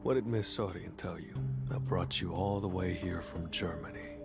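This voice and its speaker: A man's voice: deep voice, moderate pace, gravelly. A man playing a cool, guarded young hunter in a video game, restrained and serious. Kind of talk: scripted video game cutscene acting. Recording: music behind the voice.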